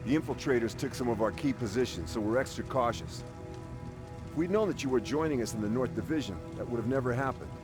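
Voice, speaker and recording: A man playing a brisk, respectful resistance soldier in a dramatic video game. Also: medium pitch, over music, natural voice. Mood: apologetic, respectful.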